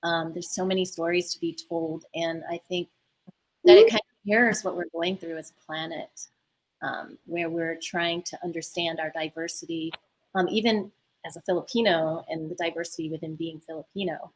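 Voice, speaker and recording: A woman filmmaker on a remote video call, speaking reflectively and haltingly with many fillers. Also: lowish voice, fairly even intonation, low-quality recording. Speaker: filmmaker panelist. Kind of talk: casual roundtable talk.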